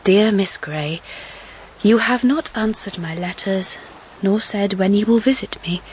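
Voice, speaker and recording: Actress as wistful young heroine, period audio drama, reflective, measured, medium pitch, faint noise behind. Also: female voice, a little mellow, natural voice, poor audio. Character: Agnes Grey, earnest, wistful, hopeful young woman narrator.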